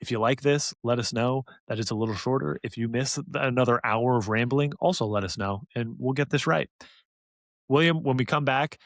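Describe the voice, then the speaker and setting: A man's voice: mid-range voice, fairly quick, no echo, studio quality. A man hosting a podcast in a casual, chatty style with informal, jokey banter. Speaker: podcast host.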